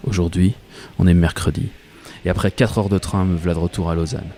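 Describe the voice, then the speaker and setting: A man's voice: deep voice, fairly even intonation, fairly quick, warm tone, slight background noise. A man playing a dreamy, obsessive commuter who narrates a radio fiction in a colloquial, wry, confiding way. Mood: intent, confiding. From Paris, France. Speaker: narrator and protagonist. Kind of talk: first-person radio fiction monologue.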